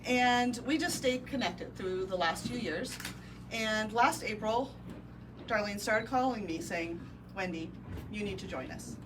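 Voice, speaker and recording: A woman with a Midwestern accent tells an assembled crowd a personal story, pausing often with ums. She is heard from a distance across a noisy indoor room, at a middle pitch. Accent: Midwestern American. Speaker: real estate brokerage owner. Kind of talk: ribbon-cutting speech. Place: indoor office event space with a crowd.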